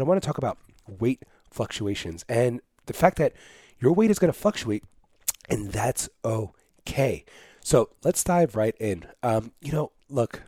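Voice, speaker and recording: Nasal, casual fitness podcast host, dry sound. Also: male voice, mid-range voice, breathy edge, no echo. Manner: casual, energetic coaching with many fillers. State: sick, slightly nasal. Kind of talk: solo podcast talk.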